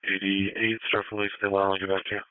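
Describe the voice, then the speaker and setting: A man's voice: low-pitched, little pitch movement, fast, clear, resonant tone. A man working as an ambulance dispatcher, giving matter-of-fact, procedural instructions over a two-way radio. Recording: thin narrowband scanner radio.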